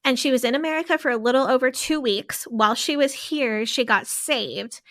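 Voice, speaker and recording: A woman's voice: sits high, moderate pace, a bit bright. A woman co-hosting a podcast, telling a story in a casual, rambling way. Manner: storytelling, with frequent fillers and restarts. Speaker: podcast co-host.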